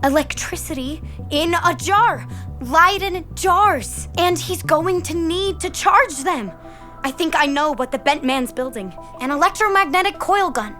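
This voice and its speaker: A woman's voice: extremely high pitch, lively intonation, unhurried, bright, forceful tone, soft background music, full character voice. A woman playing a keen, determined kid detective who leads a group of friends in an audio drama. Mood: excited, focused.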